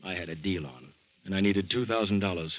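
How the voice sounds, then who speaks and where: A weary, defeated older uncle. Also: male voice, deep voice. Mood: despairing. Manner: soft-spoken, halting. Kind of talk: 1940s radio acting. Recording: dull old radio recording.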